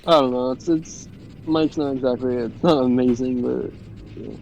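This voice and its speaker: A man's voice: mid-range voice, fairly even intonation, moderate pace, gravelly, darkish tone, muffled recording. A young man with a stuffy, congested voice chatting hesitantly over voice chat on his phone, with lots of restarts. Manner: hesitant, rambling, many restarts. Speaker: friend on voice chat. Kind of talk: casual chat. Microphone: phone mic over voice chat.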